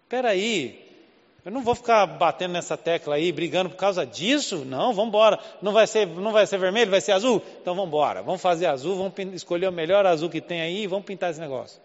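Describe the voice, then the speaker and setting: Brazilian pastor guy preaching, kinda high voice, asks the crowd questions, long church echo. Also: dull audio. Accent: Southeast Brazilian Portuguese. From Southeast Brazil. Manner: conversational preaching with rhetorical questions. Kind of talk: sermon. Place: church hall.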